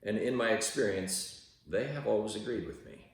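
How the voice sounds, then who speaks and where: A man reading a devotional aloud in a measured, deliberate way with emphatic stresses. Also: on the low side. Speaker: reader of a devotional audiobook. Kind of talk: read-aloud devotional narration. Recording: very echoey room, distant from microphone.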